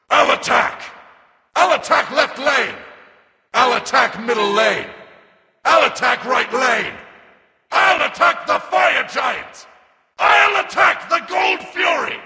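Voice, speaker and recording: An actor playing a grim, battle-hungry robotic reaper warrior in a video game, barking short, commanding callouts. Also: male voice, extremely high pitch, gravelly, big-room sound, full character voice. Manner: forceful, barked short commands.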